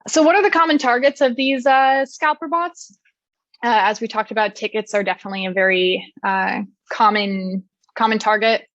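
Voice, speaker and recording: A woman giving a technical conference presentation, explaining clearly with frequent "uh" and "um" fillers. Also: a little high, normal speed, a bit bright, dry recording, dull audio. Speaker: conference speaker.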